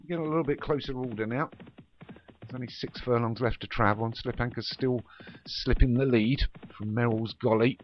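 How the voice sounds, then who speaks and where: A man's voice: mid-range voice, brisk. A man giving running commentary on a horse race in an online game league, informal, with muttered asides and self-corrections. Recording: dull, noisy home recording with clicks.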